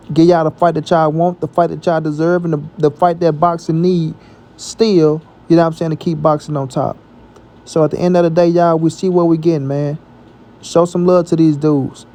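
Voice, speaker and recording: American male sports vlogger, higher-pitched and mellow, slangy and emphatic, casual opinionated commentary. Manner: emphatic, repetitive, slangy with frequent check-in tags. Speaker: boxing commentary channel host.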